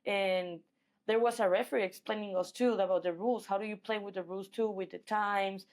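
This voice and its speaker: A woman's voice: mid-range voice, steady tone, a little fast, a little mellow. A woman from Mexico with a Mexican accent, talking casually as an athlete being interviewed. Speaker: guest, professional MMA fighter.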